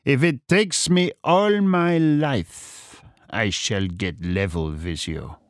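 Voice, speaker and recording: A man voicing a proud captured German spymaster, bitter and furious, with slow, grim, deliberate speech, in an audiobook. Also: medium pitch, lively intonation, no echo, studio quality. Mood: slow hatred. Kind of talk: audiobook character acting.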